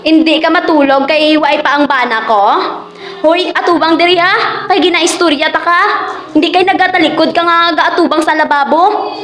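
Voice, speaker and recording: A woman's voice: high-pitched, fairly even intonation, moderate pace, fairly bright tone. A woman with a Filipino Hiligaynon accent playing a haughty, jealous lady of the house in an amateur radio drama, scolding and taunting. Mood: jealous, threatening. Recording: echoey, muffled, noisy room with music.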